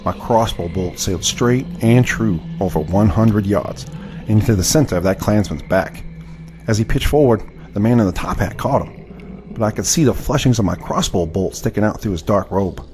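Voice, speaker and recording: A man's voice: fairly low pitch, expressive, moderate pace, a little mellow, slight background noise, close to an everyday voice. An actor playing a proud, indignant Southern crossbowman of the 1870s in an audio drama, with formal, theatrical speech. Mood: grave narrating. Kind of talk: audio drama with first-person narration.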